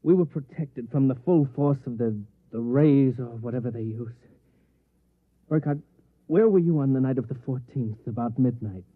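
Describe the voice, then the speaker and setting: Actor as jittery, paranoid American conspirator, rough-edged voice, thin vintage radio sound. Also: male voice, medium pitch, normal speed, close to an everyday voice. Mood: earnest, conspiratorial. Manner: hesitant, secretive, earnest. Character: nervous, furtive, paranoid man whispering of conspiracy. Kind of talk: old-time radio drama acting. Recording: tinny, band-limited vintage radio recording.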